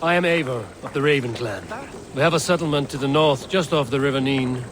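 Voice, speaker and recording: A man playing a stern, proud Viking raider in a video game, speaking in a blunt, measured way. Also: medium pitch, fairly bright tone, a little hiss or hum, natural voice. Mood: measured, confident. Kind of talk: video game cutscene acting.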